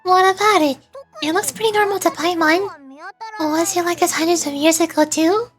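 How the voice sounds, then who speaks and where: A woman voicing a tiny, curious, cheeky fairy companion from a fantasy game, with a small, perky voice. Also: moderate pace. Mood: inquisitive. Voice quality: very high, small. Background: game music.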